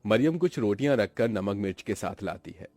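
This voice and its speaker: A man's voice: on the low side, expressive, brisk, a little mellow. A man narrating a cartoon family story in a dramatic scripted storytelling style.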